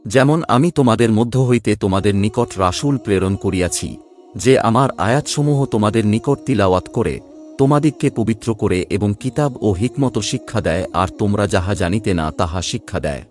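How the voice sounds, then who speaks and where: Low-pitched man reading scripture formally. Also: moderate pace, a little mellow, soft background music. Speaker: translation narrator.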